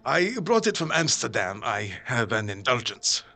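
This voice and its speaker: A man's voice: medium pitch, very animated, normal speed, gravelly, bright, forceful tone, exaggerated voice. A man playing a grave, insistent older professor and vampire hunter in an audio drama, speaking solemnly and emphatically in formal, stilted phrases.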